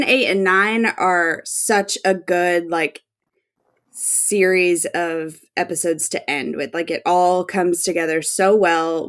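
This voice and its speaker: Lower-pitched woman in casual podcast chat. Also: a bit bright. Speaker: podcast co-host.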